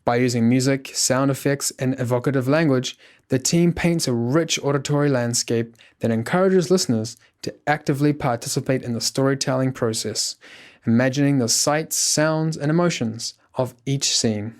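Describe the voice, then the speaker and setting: A man's voice: medium pitch, moderate pace. A man reading scripted documentary narration as the host.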